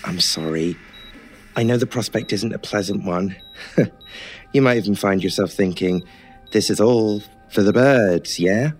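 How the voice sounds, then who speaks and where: A low-voiced male nurse in a radio horror play, speaking over music. He is polite and wordy, with a mild bedside manner and a fondness for gentle joking. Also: a little slow. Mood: polite, chatty. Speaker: voice actor in an audio drama. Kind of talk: old time radio horror drama acting.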